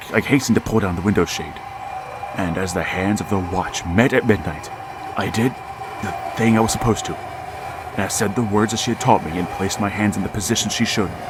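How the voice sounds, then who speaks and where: A man's voice: on the low side, normal speed, noisy background, lightly acted. An actor playing a grieving, middle-aged father who narrates and pleads desperately to reach his dead son, in a vintage-style radio drama. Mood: hushed. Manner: anguished, pleading, rising to frantic outbursts. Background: music.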